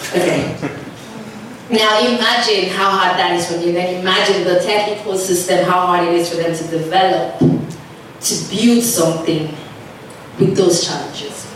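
A woman with a Nigerian accent gives a conference talk, speaking slowly and with emphasis in a fairly low voice. The sound is very echoey and picked up from a distance in a large hall, with faint background noise. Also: fairly even intonation. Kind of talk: conference presentation.

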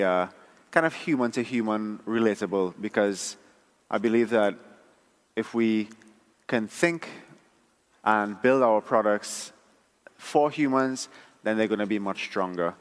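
A man from Trinidad gives a prepared conference talk in a measured, conversational, explanatory way. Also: on the low side. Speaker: conference speaker, front-end engineer. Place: large conference room. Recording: echoey hall, long reverb.

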